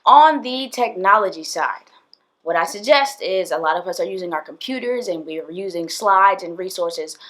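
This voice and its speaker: A woman's voice: on the low side, animated, moderate pace, fairly bright tone. A young woman, a PE teacher, giving casual tips straight to camera in a small room, chatty and direct with lots of fillers. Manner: chatty and direct, addresses viewers, frequent fillers. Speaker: PE teacher and video host. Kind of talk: casual talking-head tips video. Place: indoors, small room with plain wall.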